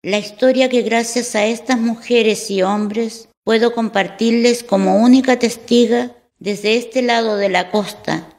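A woman's voice: on the high side, fairly even intonation, unhurried, hardly any pauses, darkish tone. A woman narrating a radio drama as a female shorebird who witnessed the story. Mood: reflective.

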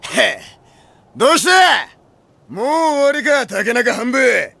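A man playing a brash, swaggering young warlord in an audio drama, taunting and shouting with a raspy voice. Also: very high-pitched, expressive, takes it slowly, no echo, exaggerated voice. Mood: cocky taunting. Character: brash, cocky young one-eyed dragon warlord. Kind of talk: Japanese anime-style audio drama acting.

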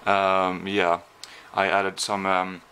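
A man's voice: low-pitched, monotone, slow pace, pushed and bright, slight background noise. A young man with a Swedish accent, vlogging casually from his small apartment with a handheld camera, rambling and self-deprecating. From Sweden. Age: young adult. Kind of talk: casual vlog. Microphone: handheld camera at arm's length.

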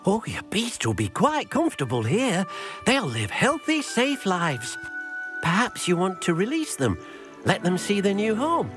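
Over clear music, a small house-elf from a fantasy video game. He is high-pitched and animated, eager to help and kindly. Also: a little slow, full character voice. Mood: helpful, pleased. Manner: refers to himself by name, helpful. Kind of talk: video game character dialogue. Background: clear background music.

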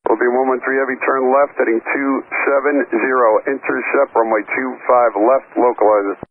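A man directs air traffic in a fast, unvarying voice. He uses procedural phrases as an approach controller, heard through a lo-fi radio link. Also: medium pitch, steady tone. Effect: aviation radio. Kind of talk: rapid radio instructions.

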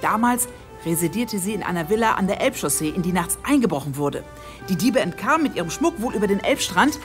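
An animated, assertive police assistant in a comic radio crime play voiced by a woman. She is eager and flirty, with a lively swing in pitch. Faint music plays behind her. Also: brisk, lightly acted. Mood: excited, triumphant. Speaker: detective's assistant.

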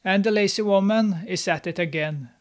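A young man with a fairly high voice narrates a film recap, steady and scripted. His tone stays snarky and mocking as he pokes fun at the characters. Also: moderate pace. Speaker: movie recap host. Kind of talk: sarcastic movie recap narration. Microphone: studio mic, on camera.

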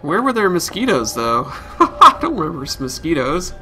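A man's voice: fairly high pitch, wildly swooping pitch, moderate pace. A man giving casual live let's play commentary, musing aloud to himself and his viewers. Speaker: game streamer. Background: game music.

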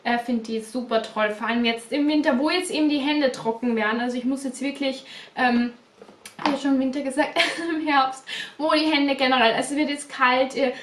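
A young woman in her twenties chatting casually as a beauty vlogger, slightly stuffy from a cold, repeating words and filling pauses. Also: sits high, steady tone, a bit bright, a little hiss or hum. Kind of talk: casual unboxing chat. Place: small bedroom studio indoors. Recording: roomy echo, a bit distant.

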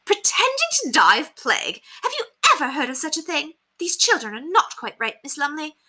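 A haughty, spoiled lady of the manor from a storybook. Her voice is very high and swoops dramatically. She is pompous and affected, stressing her words with bright force. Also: big pitch swings, moderate pace, exaggerated voice. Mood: indignant.

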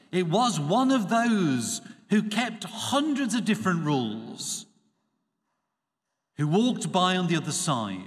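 A man, a priest giving a measured, expressive Sunday homily at a church lectern. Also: a little high. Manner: measured, expressive preaching with dropping phrase ends. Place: church sanctuary. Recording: reverberant church acoustics.

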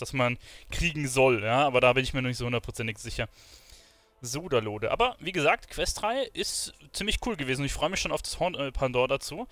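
A man's voice: mid-range voice, a bit bright, no room sound. Man casually commentating a video game. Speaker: gaming streamer. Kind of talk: casual let's play commentary.

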